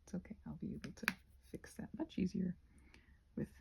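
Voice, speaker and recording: A woman chatting casually in a soft-spoken, digressive, self-deprecating ramble as she works on a craft. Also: lowish voice, sing-song, a little fast, vocal fry, slightly rough. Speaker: craft vlogger. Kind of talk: casual rambling chat while painting.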